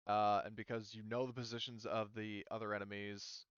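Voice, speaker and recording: A man running a tabletop game as game master, talking casually and haltingly as he thinks aloud. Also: on the low side, little pitch movement, a bit bright, smooth, no room sound, dull audio.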